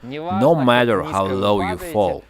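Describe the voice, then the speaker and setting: Guy asking quick friendly interview questions, crowd noise all around. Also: lowish voice, animated. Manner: short friendly questions, closes with a motivational remark.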